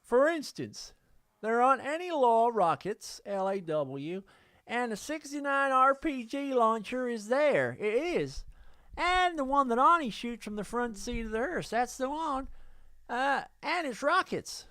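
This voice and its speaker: A man putting on an exaggerated, drawn-out American Southern accent, as a letter writer being read aloud. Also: very high-pitched, sing-song, sharp tone, no room sound, clean studio sound, voice pushed up, exaggerated voice. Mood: exaggerated drawl. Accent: put-on American Southern.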